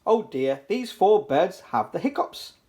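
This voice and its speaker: A children's book reader giving an animated, playful, sing-song read-aloud for toddlers. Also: mid-range voice, a little slow.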